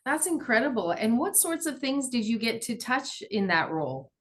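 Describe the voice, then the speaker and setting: A woman's voice: a little high, very animated, moderate pace. A woman hosting a podcast, introducing her guest and asking questions. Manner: scripted introduction, then conversational. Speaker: podcast host. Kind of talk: podcast interview.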